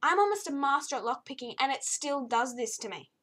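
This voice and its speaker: A young woman reviews a video game in a loose, chatty way, her fairly high voice a little croaky today. She gets easily exasperated and fills her talk with ums and restarts. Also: animated, brisk, a bit bright. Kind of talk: casual spoken game review.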